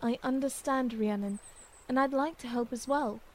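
A woman playing a loyal young blacksmith in an audio drama, calm and reassuring in manner. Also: high voice, moderate pace, close to an everyday voice. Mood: firm, concerned.

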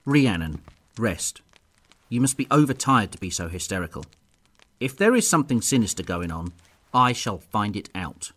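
A man playing a stern, dismissive middle-aged duke and father with a husky, gruff voice in an audio drama. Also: on the low side, big pitch swings, moderate pace, a bit bright.

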